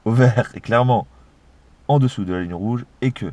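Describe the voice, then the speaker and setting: A young man, tipsy, joking and swearing as he reads aloud slowly in a car at night. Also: medium pitch, a little mellow. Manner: joking, swearing, slow deliberate reading aloud. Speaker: vlogger. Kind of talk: tipsy casual chat.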